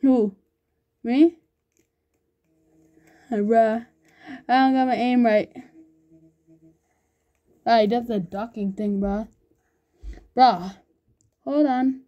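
A woman chatting excitedly while playing an online game with a friend, laughing often and calling everyone bro. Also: a little high, drawn-out words, pauses often, highs cut off. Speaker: gamer recording gameplay. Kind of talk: excited gaming banter with a friend.

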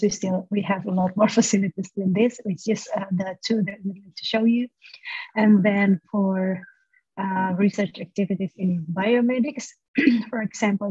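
A woman's voice: mid-range voice, dark tone, husky, dry recording. A woman, a university lecturer explaining a study program, with many "uh" fillers. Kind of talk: presenting a study program over a video call. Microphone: laptop mic on a video call. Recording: dull lo-fi video call audio.

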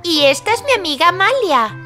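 Very high, cheerful little cartoon girl. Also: very animated, a little fast, pushed and bright, faint music behind, full character voice. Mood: playful. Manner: clear, teacherly, exclamatory. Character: cheerful, helpful little girl who leads the play. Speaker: main character and presenter of a children's cartoon. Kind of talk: children's cartoon dialogue, addressing young viewers.

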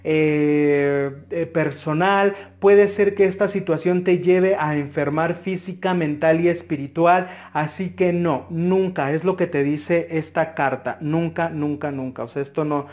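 A man's voice: fairly high pitch, fairly even intonation, measured pace, few pauses, a little mellow. A man with a Mexican Spanish accent giving an interactive tarot reading, advising the viewer earnestly with frequent hesitations. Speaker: tarot reader.

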